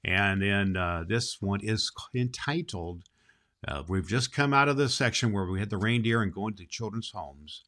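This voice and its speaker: A man's voice: on the low side, expressive, moderate pace, fairly bright tone. A man playing a jolly, kindly old Santa Claus hosting a children's holiday show, folksy and emphatic. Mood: cheerful, welcoming. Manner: folksy, emphatic, repeats phrases. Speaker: host.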